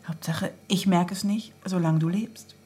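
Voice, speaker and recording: An actress playing a sharp-witted woman in her thirties in naturalistic radio drama, teasing her partner with playful deadpan. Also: lowish voice, unhurried, natural voice. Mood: playful, mocking. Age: 30s.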